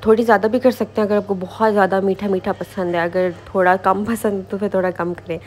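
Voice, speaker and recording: A woman's voice: medium pitch, fairly even intonation, quick pace, a little mellow. A woman giving a casual step-by-step recipe voice-over as a home cooking host, informal and repetitive, mixing in English kitchen words.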